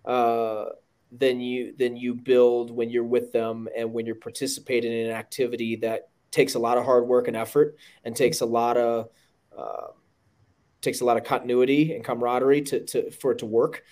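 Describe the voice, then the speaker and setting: Emphatic man with a loud voice. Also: mid-range voice. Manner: earnest, emphatic, with frequent restarts. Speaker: podcast guest, running team director. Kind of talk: conversational interview.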